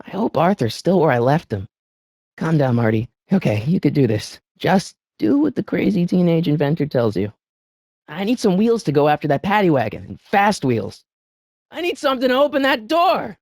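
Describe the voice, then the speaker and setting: An actor playing an excitable, wisecracking American teenage boy from the eighties in a video game, with slangy, youthful delivery. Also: a little high, moderate pace, no room sound, studio quality. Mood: determined, wry. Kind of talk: animated video game voice lines.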